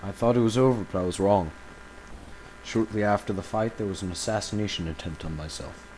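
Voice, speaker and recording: A man playing an embattled villain recording a grave confessional audio log, speaking haltingly and solemnly with dramatic pauses. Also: on the low side, a little mellow, slight background noise, close to an everyday voice, poor audio. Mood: weary, reflective.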